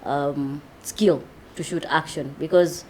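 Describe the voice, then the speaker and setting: A woman from Kenya hosts the interview, asking curious questions and quickly agreeing with her guest. Her voice sits very low and sounds mellow and warm. A faint hiss of noise runs underneath. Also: fairly even intonation. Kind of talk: sit-down interview.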